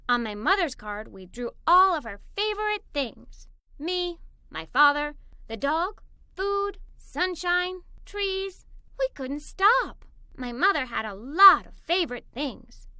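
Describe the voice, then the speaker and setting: A woman reading a scripted children's story aloud in an animated, expressive way. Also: high voice, slow pace, no room sound, clean studio sound. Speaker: story narrator. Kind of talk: scripted children's story read-aloud, first person as a young girl.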